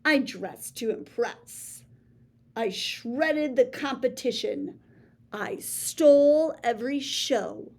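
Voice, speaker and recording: A woman reading a children's picture book aloud in a playful, theatrical way, with big swings between soft and showy lines. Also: on the high side, animated, slow pace, gravelly. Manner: boastful first-person storybook voice. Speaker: read-aloud storyteller.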